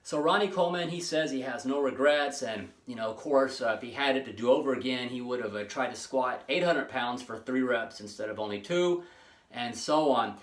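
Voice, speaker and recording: A man in his late forties hosting his own fitness channel, talking casually and rambling with many pauses and restarts. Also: mid-range voice, reverberant. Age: 40s. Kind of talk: casual monologue to camera.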